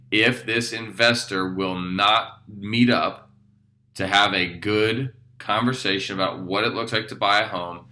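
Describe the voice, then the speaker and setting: A man's voice: deep voice, pushed and bright. A man with an American accent giving an informal real estate training talk in an upbeat, emphatic, colloquial way. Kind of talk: informal training talk with acted-out phone calls.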